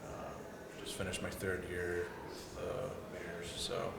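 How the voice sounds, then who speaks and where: A man's voice: low-pitched, completely flat delivery, slow pace, vocal fry. A young man from South Africa, a football player, telling his story as an interview guest in an easygoing way, with lots of "like" and "you know". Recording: echoey room with mic at a distance and noise.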